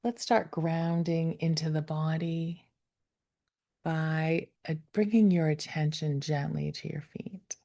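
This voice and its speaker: A woman leading a guided meditation, speaking gently and without hurry, with soft, breathy phrase endings that fall toward a whisper. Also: sits low, fairly even intonation. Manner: gentle, unhurried, with long pauses. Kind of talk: guided meditation, slow soothing instruction.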